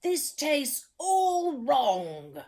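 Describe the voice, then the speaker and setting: A woman reading aloud and voicing a fussy, hungry king who speaks in slow, emphatic lines. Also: high voice, very animated, dark tone, voice pushed up, heavily acted. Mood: grumpy. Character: fussy hungry king, grumpy then pleased.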